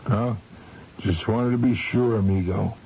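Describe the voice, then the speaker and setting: A man's voice: on the low side, fairly even intonation, a little slow, creaky, dark tone. An actor playing a cocky, cold-blooded hired gunman with smug, slangy tough talk in a 1970s radio drama. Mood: cool, assured. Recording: dull, hissy old radio recording.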